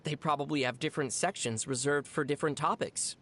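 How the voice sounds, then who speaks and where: An actor voicing a young traveling adventurer in a video game, level-headed and gently teasing, explaining things in a dry, playful way. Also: mid-range voice, fairly even intonation, a little fast. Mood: dry, explanatory. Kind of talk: video game character dialogue.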